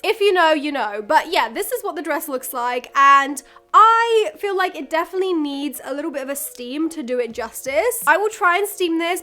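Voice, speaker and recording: A woman's voice: high-pitched, pushed and bright. A young woman in her twenties reviewing dresses in a casual vlog, chatty and gushing, her voice throaty and nasal from a cold. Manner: chatty, gushing, frequent "like" fillers. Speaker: fashion YouTuber.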